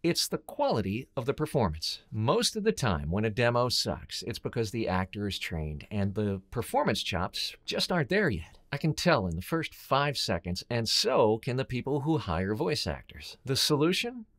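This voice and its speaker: A man's voice: medium pitch, wildly swooping pitch, normal speed, hardly any pauses, fairly bright tone. A middle-aged man hosting a scripted advice video to camera, speaking in a blunt, emphatic, colloquial way. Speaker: host, voiceover coach and voice actor.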